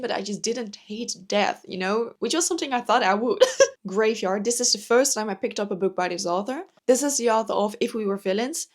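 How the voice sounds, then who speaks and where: A woman in her twenties from the Netherlands, a native Dutch speaker, reviewing books in a chatty, enthusiastic way with frequent laughs and soft asides. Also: medium pitch. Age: 20s. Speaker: book vlogger. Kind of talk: casual book review chat.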